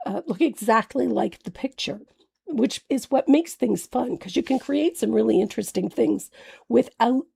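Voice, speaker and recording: Hoarse woman doing a live craft demo, gravelly and kinda husky, higher voice, chatty w viewers. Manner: chatty, greets viewers by name, warm fillers. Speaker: craft painting instructor.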